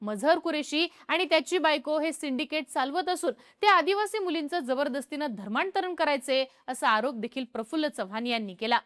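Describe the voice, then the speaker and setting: High-voiced woman reading Marathi news. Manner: steady, measured reporting tone. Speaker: news presenter. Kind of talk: scripted news narration.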